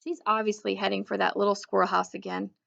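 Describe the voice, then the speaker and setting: A woman reading a children's book aloud as an expressive narrator. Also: mid-range voice, quick pace, vocal fry, dull audio. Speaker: storybook reader.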